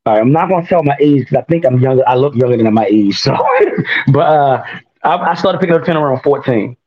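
A man's voice: medium pitch, fast, muffled recording. A man, a rapper telling his story in a casual interview and often laughing at himself. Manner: laughs often, self-mocking, lively. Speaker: guest rapper.

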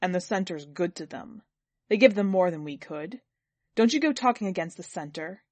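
A woman reading the part of a sour, belittling husband in a story, gloomy and complaining, quick to turn brutal. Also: lowish voice, animated, darkish tone, dry recording. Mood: angry. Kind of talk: dramatic audiobook character reading.

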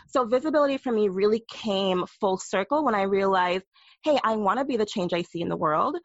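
A woman guest on a podcast telling personal stories with animated, playful asides. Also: mid-range voice, no echo, lo-fi sound. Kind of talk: candid interview conversation.

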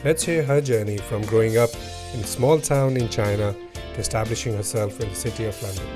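A man's voice: fairly low pitch, fairly quick. A man hosting a podcast, introducing and questioning a guest. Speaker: podcast host, interviewer. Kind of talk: scripted introduction and interview questions. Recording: music playing behind the voice.

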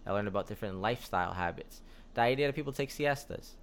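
A young man with a fairly low voice, talking in an easygoing, rambling way and leaning on lots of fillers. He sounds like a podcast guest in casual conversation. A faint hiss sits behind him. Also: fast. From United States. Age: young adult. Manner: relaxed, rambling storyteller with frequent fillers.

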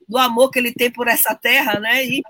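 A woman's voice: fairly high pitch, fairly even intonation, brisk, background noise. A woman hosting a live stream, graciously greeting viewers and reading their comments aloud. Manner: gracious, slightly formal. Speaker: host.

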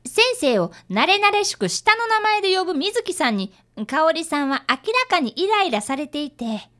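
A woman's voice: very high voice, big pitch swings, normal speed, a bit bright, full character voice. A woman playing an earnest, very polite schoolboy apprentice detective who narrates a radio drama. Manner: very polite, formal speech.

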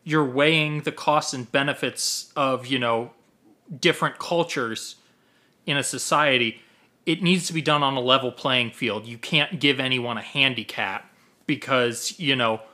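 A man in a casual podcast discussion, making his argument in measured points. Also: medium pitch, bright, forceful tone.

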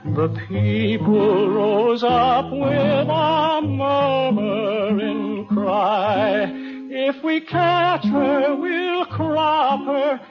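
Tavern folk singer, mournful old ballad, really slow, muffled radio w/ loud music. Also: female voice, sits high.

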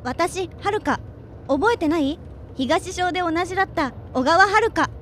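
A woman's voice: very high-pitched, lively intonation, normal speed, fairly bright tone, slight background noise. An actress playing a friendly, forward, sporty woman in her twenties in a radio drama, chatting casually and directly. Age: 20s.

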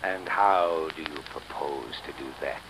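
A man's voice: rumbling low, a little slow, a bit bright, heavily acted. A man playing a greedy, murderous villain, defiant and gloating, in theatrical 1930s radio drama style. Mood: gloating menace. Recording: noisy vintage 1930s radio recording.

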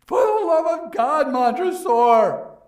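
Fortunato, a shrill laughing nobleman. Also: roomy sound, distant mic. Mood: desperate pleading. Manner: high shrill giggling, then frantic and hurried. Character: chained noble man, laughing wildly then pleading.

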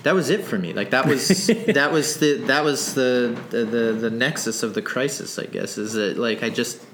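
A man's voice: mid-range voice, normal speed, slight background noise. A man from Nova Scotia, an author talking casually in an interview, rambling with many restarts. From Nova Scotia, Canada. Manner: rambling, many restarts, animated when excited. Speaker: author guest. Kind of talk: conversational interview.

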